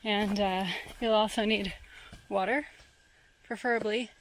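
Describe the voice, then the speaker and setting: A woman's voice: medium pitch, steady tone, measured pace, a little hiss or hum, rough recording. A young woman from New Hampshire talking casually to her viewers while walking a forest mountain trail, recorded on a small handheld camera mic outdoors. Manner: chatty, upbeat, addresses viewers directly. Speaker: hiking vlogger. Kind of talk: casual vlog narration to camera.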